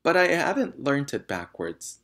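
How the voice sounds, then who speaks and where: A man's voice: mid-range voice, sing-song, unhurried, gravelly, a bit bright, voice pushed up, heavily acted. A man voicing a nervous, flustered small schoolboy in a storybook, stammering and protesting.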